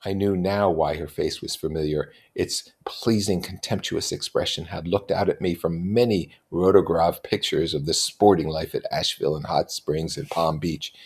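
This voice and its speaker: A man reading a literary novel aloud as an expressive narrator and commentator. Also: low-pitched, normal speed, hardly any pauses, husky. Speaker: reader and commentator.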